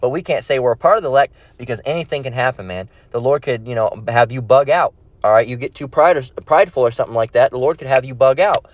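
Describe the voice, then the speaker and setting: A man's voice: medium pitch, fast, few pauses, no room sound. Man preaching informally, dull dry sound. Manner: blunt and profane, confrontational, repeats phrases; slangy, tags phrases with "all right?" and "okay?". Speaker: street-style Bible preacher. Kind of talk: informal impromptu sermon with scripture reading.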